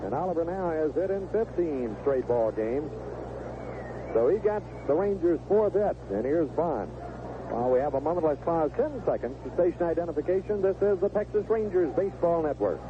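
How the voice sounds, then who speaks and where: A man's voice: a little high, lively intonation, normal speed. A man calling live baseball play-by-play on the radio as the game's announcer. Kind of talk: live sports commentary. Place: stadium broadcast booth. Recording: narrow, noisy 1970s AM radio broadcast.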